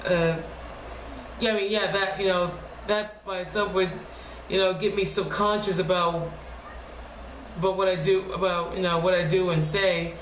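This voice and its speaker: A young woman speaking quietly in a low, deep voice, talking haltingly and restarting her words often in a casual personal vlog at home. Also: fairly even intonation. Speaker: vlogger. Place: home room. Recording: echoey room with faint noise.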